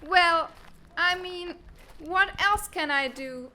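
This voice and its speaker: A woman voicing a brash, hot-tempered teenage girl in a comic dub, blustery, bossy and quick to get defensive. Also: extremely high pitch, sharp tone, slight background noise, full character voice. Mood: sulky, indignant. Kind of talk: animated comic-dub voice acting.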